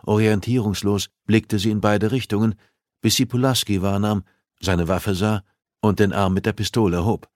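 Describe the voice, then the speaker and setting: A man's voice: lowish voice, fairly even intonation, moderate pace, a little mellow, dry recording, clean studio sound. A man reading a thriller novel aloud as scripted audiobook narration.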